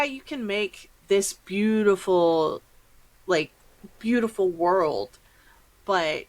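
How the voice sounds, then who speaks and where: Female interviewer, lowish voice, unhurried, informal, thinks out loud as she goes. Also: rough recording. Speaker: podcast host, interviewer. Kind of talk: casual chat, interviewing.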